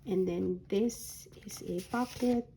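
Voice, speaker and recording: Hoarse, slow-talking woman crafter. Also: medium pitch, a little hiss or hum. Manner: soft-spoken, unhurried, frequent ums. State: hoarse, voice recovering from a sore throat. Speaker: paper crafter showing a journal folio. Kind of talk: slow, casual craft flip-through.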